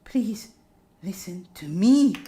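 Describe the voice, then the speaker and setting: A woman's voice: mid-range voice, expressive, slow, dark tone. A woman voicing a frightened, distraught female caretaker in a horror visual novel, pleading in a panicked, emphatic way. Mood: frantic, distraught.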